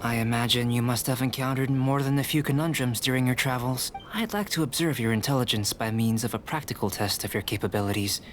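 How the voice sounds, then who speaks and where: A man playing a composed young male alchemist in a video game, speaking in a measured, formal, detached and polite way. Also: mid-range voice, fairly bright tone, slight background noise, close to an everyday voice. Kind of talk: scripted video game character dialogue. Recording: game music behind the voice. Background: clear background game music.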